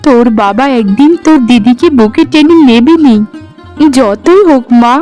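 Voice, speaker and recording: A forgiving village mother from a cartoon, played by a woman. Her high voice is soothing and resigned, with music behind it. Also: animated, moderate pace, dark tone, lightly acted. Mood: reassuring. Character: forgiving, reassuring middle-aged village mother. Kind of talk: cartoon story dialogue.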